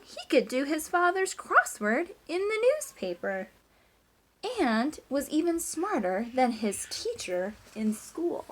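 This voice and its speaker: A woman teacher doing an animated read-aloud of a children's story, with chatty asides and questions to young listeners. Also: fairly high pitch, a little hiss or hum, low-quality recording. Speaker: teacher reading aloud to class.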